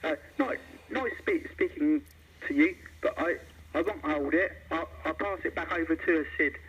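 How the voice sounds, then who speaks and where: Clear static surrounds a somewhat high-voiced man on CB radio. He chats casually in operator jargon, haltingly, often repeating a word before moving on. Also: fairly bright tone. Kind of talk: casual radio chat with call signs. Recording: clearly noisy CB radio link.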